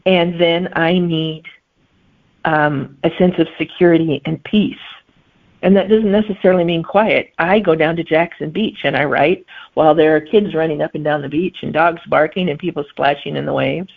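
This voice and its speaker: A middle-aged woman with a gravelly, creaky voice, talking as a guest author. She answers in an unhurried, thoughtful way and often pauses to find her words. The sound is thin and phone-like. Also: deep voice. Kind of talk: conversational interview answers. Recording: phone-like remote line.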